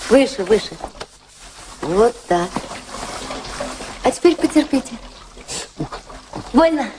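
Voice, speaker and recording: An actress playing a wealthy high-society lady, concerned and kind but firmly insistent, in a radio play. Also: sing-song, slow, background noise. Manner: solicitous, firmly commanding.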